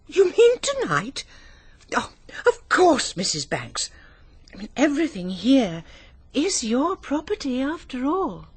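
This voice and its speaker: Timid genteel english companion lady, high breathy-husky voice, fussy, radio drama. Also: wildly swooping pitch, takes it slowly, dry recording, exaggerated voice. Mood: anxious, deferential. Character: timid, genteel, eager-to-please lady's companion. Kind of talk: British radio mystery drama acting.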